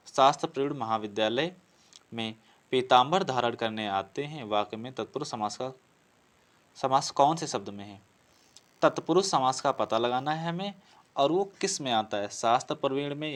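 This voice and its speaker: A man teaching an exam-prep class, explaining step by step, repeating phrases and answering his own questions. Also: medium pitch. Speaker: teacher for competitive exam Hindi grammar. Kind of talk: classroom lesson working through practice questions.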